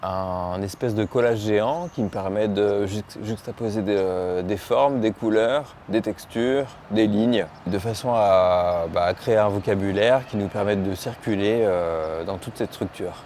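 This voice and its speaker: A man's voice: on the low side, a little slow, hardly any pauses, darkish tone, a little hiss or hum. A man from Lyon, France, a painter talking about his own work in an interview, hesitant and often searching for words. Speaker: muralist painter.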